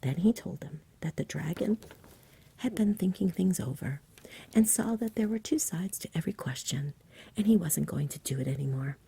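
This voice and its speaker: A woman reading a bedtime story in a soft, whispery ASMR style, gentle and unhurried, close to the mic. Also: on the low side, animated. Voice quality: whispery, breathy. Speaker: storybook reader.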